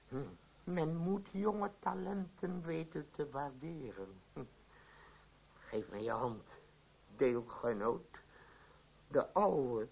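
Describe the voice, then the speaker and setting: An actor playing a pompous, easily swayed old theatre troupe leader in a radio play, theatrical, flustered and stammering. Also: male voice, a little high, creaky, husky, full character voice. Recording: muffled, dull old radio play recording.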